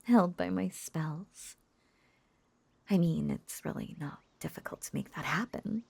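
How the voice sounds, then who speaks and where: Woman acting a breathy, creaky-voiced lovesick witch. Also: lowish voice, a little slow, exaggerated voice. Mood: possessive, lovesick. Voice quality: soft, breathy. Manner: cooing, coaxing, breathy half-whispers with pet names. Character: lovesick yandere witch, obsessive, possessive, sweetly unhinged. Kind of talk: intimate roleplay audio drama.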